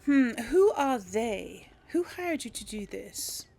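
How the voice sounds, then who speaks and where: A woman's voice: fairly high pitch, very animated, slow pace, creaky, mellow. A woman doing casual game commentary and role-playing dialogue choices, musing aloud with little hums. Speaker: gamer narrating a role play series.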